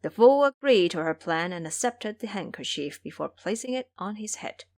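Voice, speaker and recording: A woman's voice: medium pitch, lively intonation, normal speed, darkish tone. A woman giving a scripted, dramatic narration as the storyteller of an audio drama.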